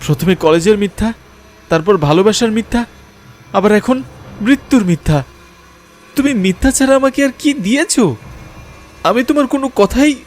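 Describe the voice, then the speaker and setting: A man's voice: medium pitch, expressive, normal speed, long pauses, a little hiss or hum, lightly acted. A man playing a frightened young man, a betrayed lover telling his horror story in an earnest, dramatic first-person narration. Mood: accusing, agitated.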